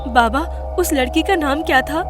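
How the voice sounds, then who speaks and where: A woman playing an earnest, frightened young wife in peril in an animated horror story. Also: sits high, normal speed, over loud music, lightly acted. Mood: worried.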